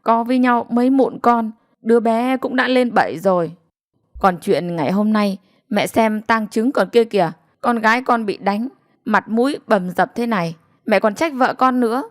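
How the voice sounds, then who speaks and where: A woman's voice: mid-range voice, moderate pace, no room sound, studio quality, natural voice. A woman voicing the words of a wronged, stubborn husband in an audio story, aggrieved and insistent. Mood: aggrieved pleading. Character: wronged, stubborn deaf-mute husband, interpreted aloud by wife.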